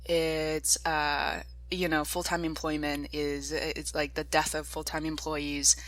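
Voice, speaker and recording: A woman hosts a podcast interview, chatty and eager. Her voice sits low for a woman, and she laughs easily between questions. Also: level tone, slow, a bit bright, dry recording. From China. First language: Chinese. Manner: enthusiastic, chatty, laughs often. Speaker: podcast host.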